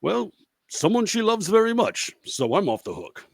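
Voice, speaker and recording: A man's voice: high voice, wildly swooping pitch, normal speed, gravelly, no room sound, exaggerated voice. A man playing a roguish, bumbling fortune-telling professor in a comedic radio play, with hesitant patter and wry asides. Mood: solemn, wry.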